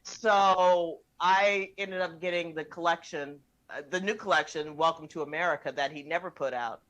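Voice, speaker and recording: A woman's voice: fairly low pitch, normal speed, a bit bright, poor audio. A woman in her fifties hosting a comedy chat, casually bantering in a brash, teasing, sweary way. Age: 50s. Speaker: comedy show host.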